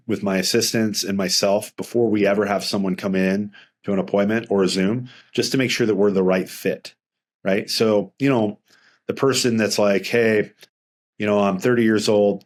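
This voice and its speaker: A middle-aged man speaking as a financial advisor in a casual interview, earnest and rambling with frequent restarts. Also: fairly low pitch.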